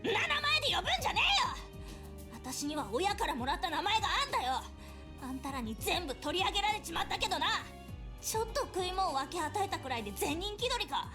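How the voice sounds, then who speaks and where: Scrappy street girl in a game, very high voice, rough slang, fast and swinging wildly. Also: very animated. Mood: furious. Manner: rough, coarse slang, snarling. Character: fierce young street girl, bitter toward the church. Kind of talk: Japanese game voice acting. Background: game music.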